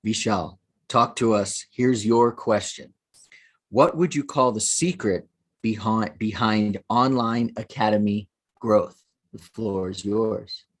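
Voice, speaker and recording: A male host moderating an online panel, handing off questions with energetic, emcee-like enthusiasm on a video call. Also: lowish voice, slow.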